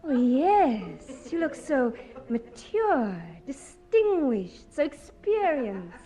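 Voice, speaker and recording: A woman's voice: high voice, sing-song, very slow, warm tone, heavily acted. An actress with an English accent playing a sweet, blunt, naive young woman in a 1950s radio comedy. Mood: admiring. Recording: old radio recording, audience and music behind.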